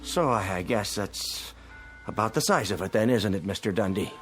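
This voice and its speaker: A man's voice: lowish voice, lively intonation, moderate pace, faint music behind, lightly acted. An actor playing a genial, tipsy policeman in a radio drama. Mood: astonished. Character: genial, tipsy Irish policeman heading home.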